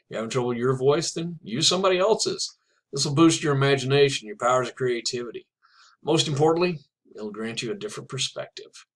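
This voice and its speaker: A man's voice: medium pitch, fairly bright tone. A man teaching writing in a video lesson. He talks casually and encouragingly, with small laughs now and then. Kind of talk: instructional video talk.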